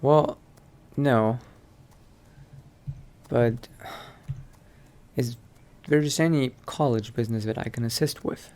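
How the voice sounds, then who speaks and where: A man acts out a cautious vampire wanderer in a fantasy game. He talks slowly in a soft, mellow voice, thinking aloud with hesitation, over faint background noise. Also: medium pitch, close to an everyday voice. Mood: hesitant, curious. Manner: hesitant, musing to himself. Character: cautious, musing male vampire adventurer at a mage college. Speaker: roleplay let's-play player. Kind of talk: in-character improvised roleplay monologue.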